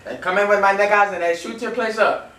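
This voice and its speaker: Echoey, higher-pitched young American man. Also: animated, a little fast, bright, forceful tone. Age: teenager to young adult. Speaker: prank caller. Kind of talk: prank phone call. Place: small room indoors on a couch. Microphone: camera a few feet away. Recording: echoey, distant camera mic, faint noise.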